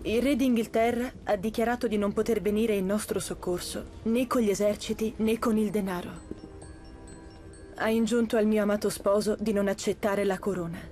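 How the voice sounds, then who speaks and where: Proud young queen, soft-spoken and earnest, played by an actress, over a music bed. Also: mid-range voice, fairly even intonation, normal speed, close to an everyday voice. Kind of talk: dubbed documentary drama. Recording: music underneath.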